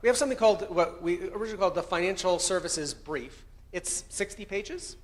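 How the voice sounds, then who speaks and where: A man's voice: high voice, lively intonation, fairly quick. A man with an American accent, raised in Colombia, giving an earnest, conversational conference presentation about research findings. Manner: conversational, earnest, self-correcting. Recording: long hall reverb.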